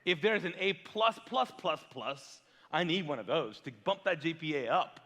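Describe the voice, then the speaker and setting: Pastor guy preaching, kinda high voice, big loud-soft swings, lots of questions to the crowd, long hall echo. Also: normal speed. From Kuwait. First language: Urdu. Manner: animated storytelling, big swings in loudness, rhetorical questions. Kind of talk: sermon. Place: large church hall.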